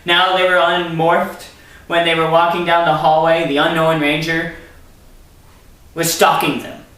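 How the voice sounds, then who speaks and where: A man's voice: fairly high pitch, monotone, a little slow, pushed and bright. A man reading a story aloud theatrically, breaking in with sarcastic asides as commentary. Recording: very echoey room, distant mic.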